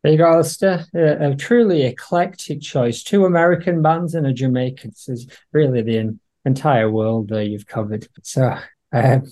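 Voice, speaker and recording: A man's voice: medium pitch, moderate pace, warm tone. A man hosting a casual music chat in a jokey, informal manner. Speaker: podcast host.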